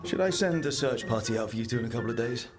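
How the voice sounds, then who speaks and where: An actor playing a wry, supportive adventurer and leader in a video game, with a casual delivery. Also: male voice, mid-range voice, lively intonation, very fast, over music, close to an everyday voice. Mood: wry, amused.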